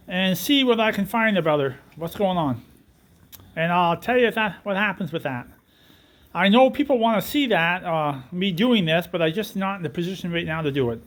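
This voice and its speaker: A middle-aged man, a sheep farmer, chatting casually and cracking folksy jokes while he works. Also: high-pitched. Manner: joking, tells folksy one-liners. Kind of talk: casual chat and farm narration. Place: farm barn and fields. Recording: faint farm background noise.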